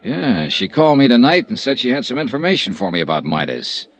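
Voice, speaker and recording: Wry hard-boiled investigator, 1950s radio drama, mid-pitched, animated, clipped narration, vintage recording with faint music. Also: male voice, brisk. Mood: thoughtful.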